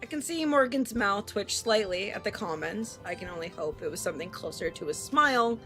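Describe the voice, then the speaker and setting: A woman streams a visual novel, reading narration aloud and chatting casually about it. Her delivery is expressive and sweary, at an ordinary female pitch. Soft game music plays behind her. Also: a little fast. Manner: animated, expressive, freely swearing.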